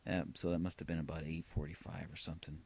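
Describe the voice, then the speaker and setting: A man talking casually and reflectively about his own old tapes, halting and rambling with a wry edge. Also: rumbling low, level tone, gravelly, heavy background noise. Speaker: vlogger playing old answering machine tapes. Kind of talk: casual rambling personal narration.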